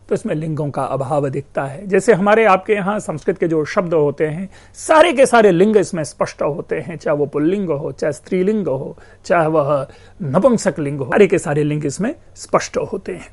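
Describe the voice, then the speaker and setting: A man's voice: fairly high pitch, lively intonation, normal speed. A man lecturing on linguistics in an explanatory classroom manner, addressing his listeners as friends and stressing words to show meaning. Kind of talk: educational lecture.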